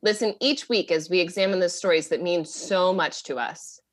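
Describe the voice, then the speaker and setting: A woman's voice: fairly low pitch, normal speed. A woman hosting a podcast, reading a theatrical scripted promo. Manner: theatrical announcer.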